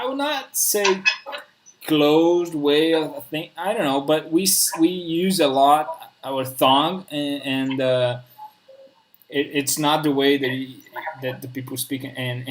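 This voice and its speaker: A man's voice: medium pitch, a little slow, a little hiss or hum, low-quality recording. Man, Spanish accent, non-native English, mid-range voice, casual host, searching for words. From Spain. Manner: searching for words, restarts often. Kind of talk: casual conversation.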